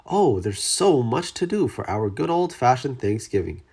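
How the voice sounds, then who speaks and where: An eager storybook hippo played by a read-aloud narrator, good-natured and fussy about tidiness. He speaks earnestly and exclaims in wide, husky swoops. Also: mid-range voice, very animated, moderate pace, a little mellow, exaggerated voice.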